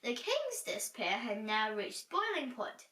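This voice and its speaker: A woman reads a children's story with animated, dramatic delivery. Her voice is fairly high and bright. It sounds roomy and echoey, set back from the microphone. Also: a little slow. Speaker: storybook reader. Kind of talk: expressive children's story reading. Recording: roomy echo, distant from mic.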